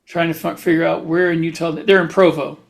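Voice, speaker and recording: Halting man with a higher-pitched voice. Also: little pitch movement, gravelly. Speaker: podcast host; writer. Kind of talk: casual podcast chat.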